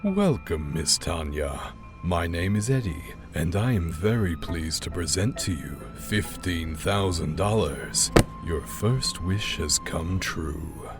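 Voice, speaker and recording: A man playing a smooth, sly, salesman-like wish granter in an audio horror drama, speaking with formal, suave courtesy. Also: low-pitched, lively intonation, slow pace. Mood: formal, congratulatory. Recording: loud music under the voice.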